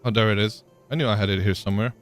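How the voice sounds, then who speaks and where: A man's voice: lowish voice, steady tone, very fast, faint music behind. A man live-streaming a game, chatting casually, thinking aloud with frequent ums and answering viewers in chat.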